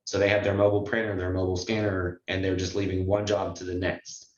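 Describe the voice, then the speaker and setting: A man's voice: low-pitched, flat delivery, quick pace, darkish tone. A man hosting a conversational Q&A on a group call, explaining and advising with emphasis. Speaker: host and notary trainer taking questions. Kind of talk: conversational Q&A advice. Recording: muffled, roomy online call audio.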